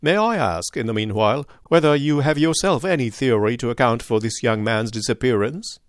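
Incisive detective read by a man, measured, precise, dryly ironic, mid-pitched, dry close sound, audiobook. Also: lively intonation, no room sound, lightly acted. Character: calm, incisive adult male detective.

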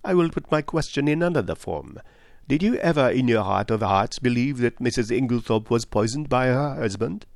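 A man acts out a shrewd male detective in an audiobook, pitched well up with lively swings. He is courteous and calm, probing in measured, insistent phrases. The sound is dry and close. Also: creaky, no room sound. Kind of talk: audiobook character voice.

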